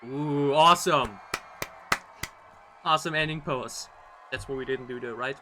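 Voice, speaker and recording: A man giving casual reaction commentary as a video host, excitable and rambling, often repeating his phrases. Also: mid-range voice, unhurried, noisy background. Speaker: host of a K-pop reaction channel. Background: pop music from the video being watched.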